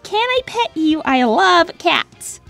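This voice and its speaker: A woman voicing an excitable young female cartoon hedgehog with a peppy, dramatic, girlish manner that turns sarcastic when put out. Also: very high voice, slow pace, soft background music, exaggerated voice. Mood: bubbly. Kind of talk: animated character Q&A, fan dub.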